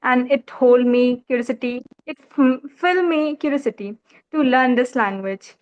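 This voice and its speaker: Young Indian woman, Indian English accent, high voice, earnest and hesitant, muffled call audio. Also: normal speed. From Uttar Pradesh, India. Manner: earnest, hesitant, frequent restarts, polite. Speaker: English learner. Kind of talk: casual video call conversation.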